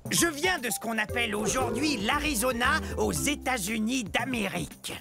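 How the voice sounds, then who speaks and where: A man's voice: extremely high pitch, expressive, gravelly, music in the background, full character voice. An actor voicing a playful, proud dinosaur father who speaks theatrically to viewers, stretching words out, in an educational cartoon. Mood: proud, excited. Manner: theatrical, drawn-out words, sudden swoops.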